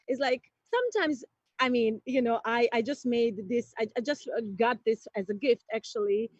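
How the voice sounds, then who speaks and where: A woman from Croatia performs a playful stand-up set in a high, bright-sounding voice. She talks slowly and deliberately, then bursts into excitement. The audio is dry and a little dull, like a home streaming mic. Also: no echo. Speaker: stand-up comedian.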